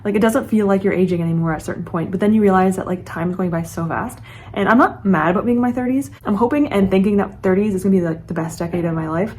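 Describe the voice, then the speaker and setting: A woman's voice: medium pitch, fast talker, a little mellow, slight background noise. A woman in her late twenties, a book vlogger chatting casually and earnestly to her viewers. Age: late 20s. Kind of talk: casual chat to camera.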